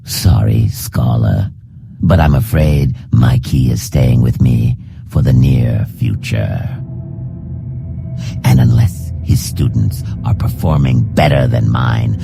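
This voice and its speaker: A man voicing a hard, proud reptilian assassin trainer in a fantasy game, gravelly and growling, speaking in a measured, formal, stern manner. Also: very deep voice, over loud music, full character voice. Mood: dry, dismissive. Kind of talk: fantasy game character dialogue.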